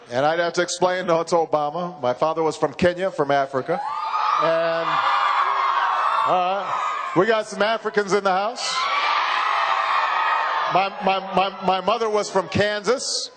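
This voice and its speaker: A man in his forties giving a campaign speech, slow and halting with frequent pauses and repeated words. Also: high voice, noisy background. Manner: halting, measured, with many uhs and repeated words. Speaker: political candidate. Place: large university hall. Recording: reverberant hall from a PA.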